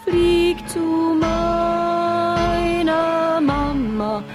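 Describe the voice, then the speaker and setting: Female singer, high voice, very slow lamenting ballad, strong musical backing. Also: fairly even intonation, over loud music.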